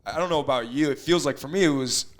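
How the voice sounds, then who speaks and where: A hoarse young man co-hosting a casual podcast in an apartment, rambling with fillers and restarts. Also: medium pitch, fast, a little hiss or hum. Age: young adult. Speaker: podcast co-host.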